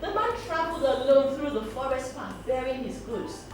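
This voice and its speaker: A woman telling a story to children, pausing to explain and ask questions. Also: sits high, lively intonation. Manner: explains words, asks questions. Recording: echoey, distant mic, music behind.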